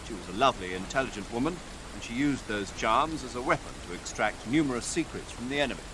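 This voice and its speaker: An actor playing a sombre, well-informed military adviser in a video game, giving briefings in a measured, grave way. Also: male voice, mid-range voice, lively intonation, noisy background, lightly acted. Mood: informative.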